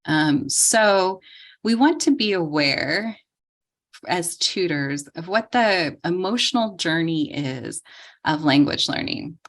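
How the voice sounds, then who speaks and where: Woman tutor trainer, low voice, calm explanatory lecture with ums. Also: slow.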